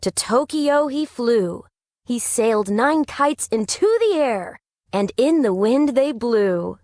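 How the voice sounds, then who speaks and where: A woman with a fairly high voice reads rhyming children's verse as narrator. Her pitch moves around a lot, her pace is unhurried, and the recording is dry. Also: lively intonation, darkish tone, dry recording. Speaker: storybook narrator. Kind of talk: rhyming children's read-aloud.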